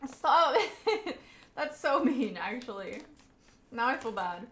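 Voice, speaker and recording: A woman's voice: a little high, sing-song, slow pace, fairly bright tone, echoey room, slight background noise. A young woman with a Southern US accent, chatting casually with her live audience as a streamer and laughing often mid-sentence.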